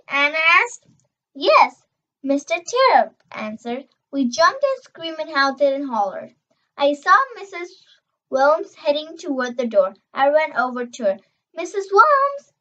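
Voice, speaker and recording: A young girl reading a children's novel aloud, expressive and emphatic on dialogue, stumbling over words now and then. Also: high-pitched, muffled recording. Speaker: reader.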